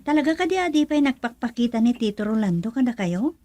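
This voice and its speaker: A woman playing a chatty, encouraging female friend in a radio drama, with a prodding, animated manner. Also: on the high side, fast, faint music behind. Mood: eager, prodding.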